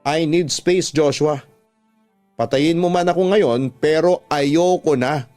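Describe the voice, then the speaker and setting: A man's voice: fairly high pitch, takes it slowly, darkish tone. Male actor as young boyfriend, weary, defensive, pleading, emotional radio drama, music bed. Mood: weary, fed up. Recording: music under the voice.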